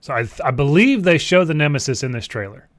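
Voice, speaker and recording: A man's voice: fairly high pitch, very animated, quick pace, dry recording, studio quality. A man co-hosting a gaming show, explaining and critiquing film trailers in a blunt, informal way. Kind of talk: casual explanatory trailer commentary.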